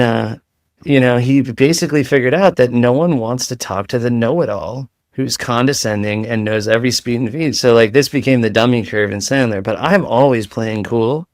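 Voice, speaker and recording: A man speaks in a light voice at a middle pitch, piling analogy on analogy as a guest in a relaxed interview. He restarts his sentences often and keeps rolling. The sound is dry and close. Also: dry recording. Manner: animated, rapid-fire analogies, rambling restarts. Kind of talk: casual interview chat.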